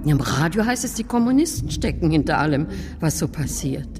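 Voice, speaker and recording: A woman's voice: low-pitched, sing-song, fairly quick, big-room sound, over music. A woman playing a fretful, gossipy old family nanny in a German radio play, homely and grumbling.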